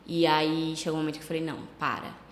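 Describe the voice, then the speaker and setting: A Brazilian woman with a slight cold, a travel agency owner chatting casually as a podcast guest, relaxed and full of fillers. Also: sits low, fast talker. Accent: Brazilian Portuguese. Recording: echoey room with long reverb.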